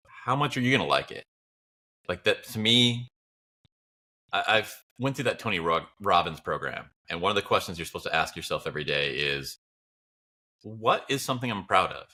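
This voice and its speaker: A man's voice: low voice, pushed and bright. A man chatting casually as a podcast guest, talking in a reflective, encouraging and informal way. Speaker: podcast guest, audio drama creator. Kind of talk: casual chat.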